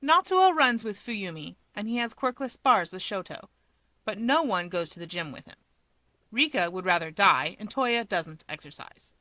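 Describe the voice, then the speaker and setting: A woman narrating a scripted piece of fiction in an expressive storytelling style. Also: mid-range voice, no room sound, poor audio.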